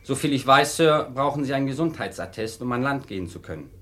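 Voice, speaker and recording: A man's voice: mid-range voice, animated, fairly quick, fairly bright tone, close to an everyday voice. A man playing a polite, deferential sailor in a 1960s radio crime drama. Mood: polite, businesslike. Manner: polite, formal.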